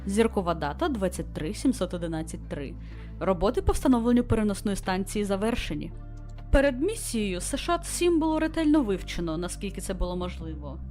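A woman voicing a composed female starship captain who reads a mission log in a formal, measured way, as scripted visual novel narration. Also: medium pitch, big pitch swings, darkish tone, faint music behind, close to an everyday voice. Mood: grave, reporting.

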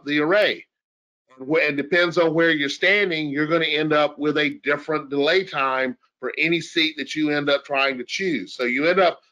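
A man's voice: fairly high pitch, fairly bright tone, dull audio. A man giving an informal technical explanation as an expert, in a conversational way with rhetorical questions. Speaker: audio engineer guest expert.

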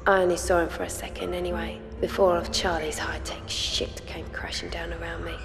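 Young British thief, slightly low voice, downbeat, dry delivery, long reverb, game cutscene. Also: female voice, little pitch movement, brisk, gravelly, over music. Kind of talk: video game cutscene acting.

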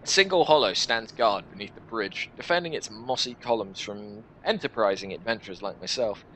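A man in his twenties reading a first-person story aloud in an expressive, deliberate, dramatic style. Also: lowish voice, slight background noise, low-quality recording. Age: 20s. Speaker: video creator reading a visual novel. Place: small room at home, indoors.

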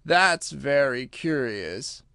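A man voicing a mournful, drowsy, self-important turtle creature in a storybook, with slow, drawn-out, solemn speech. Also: fairly high pitch, animated, a bit bright, no room sound, clean studio sound, voice pushed up, exaggerated voice. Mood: solemn, offended. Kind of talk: storybook character voice.

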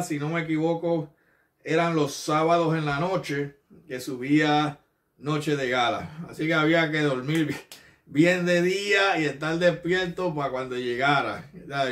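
A middle-aged man with a Puerto Rican accent giving earnest, effusive casual commentary as a video host, often halting and repeating words as he searches for the next. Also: a little high, a bit bright. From Puerto Rico. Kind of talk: casual unscripted commentary to camera. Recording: roomy echo, distant microphone.

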